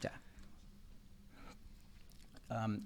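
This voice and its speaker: A young man, hosting a podcast in a relaxed way with some hesitation and filler words. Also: drawn-out words. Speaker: podcast co-host, grad student.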